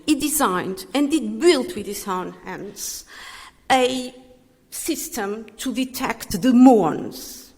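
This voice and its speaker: Older woman in a reverberant hall. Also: a little high. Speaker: speaker giving a tribute. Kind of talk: commemorative speech. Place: large reverberant hall, live speech.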